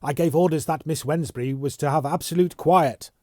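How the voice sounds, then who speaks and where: A man voicing a sharp, impatient young male officer who snaps his lines. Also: fairly high pitch, very animated, unhurried, slightly rough, no room sound, clean studio sound, voice pushed up, heavily acted. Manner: snapping, exasperated.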